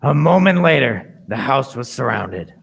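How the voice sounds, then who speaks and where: A man playing an earnest young narrator recounting his adventure deliberately in a scripted radio play. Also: mid-range voice, big pitch swings, vocal fry, noisy background, heavily acted. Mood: grave, recounting.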